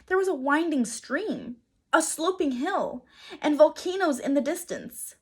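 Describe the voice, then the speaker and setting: Slow, expressive woman reading a storybook aloud. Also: sits high.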